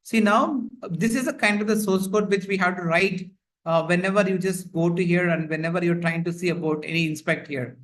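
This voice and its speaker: A man's voice: high voice, a little fast, a bit bright. A man teaching a live online class over a video call, explaining in a repetitive way full of fillers. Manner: repetitive, filler-heavy explaining with frequent "like, you know". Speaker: instructor. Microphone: computer mic on a video call.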